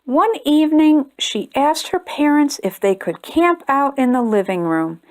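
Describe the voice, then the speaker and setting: A woman hosting a storytime channel, reading a children's picture book aloud in a gentle, expressive way. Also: on the high side. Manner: gentle, expressive reading, brighter and chattier when addressing viewers. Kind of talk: read-aloud storytelling for kids.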